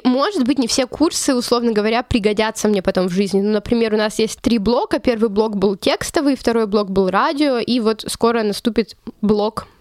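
A woman's voice: a little high, darkish tone, no echo, studio quality. A young woman from Russia, a journalism student, telling her story as an interview guest in a chatty way, full of fillers and restarts. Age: young adult. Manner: chatty, lots of fillers and self-corrections.

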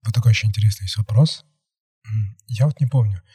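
A man's voice: on the low side, fast talker, dark tone, husky, no echo. A young man hosting a casual podcast, talking in a rambling way full of fillers and hedges. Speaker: podcast host.

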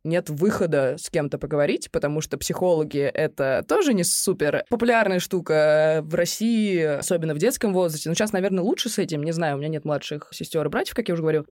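A woman's voice: sits low, quick pace, few pauses, a little mellow, no echo, studio quality. A young woman co-hosting a casual chat, talking in a colloquial, rambling way with plenty of slang.

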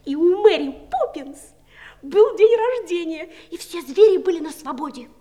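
A woman's voice: very high voice, lively intonation, moderate pace, dark tone. A woman playing an earnest, wondering little girl in a 1960s audio play, with a childlike, wide-eyed delivery. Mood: excited, confiding.

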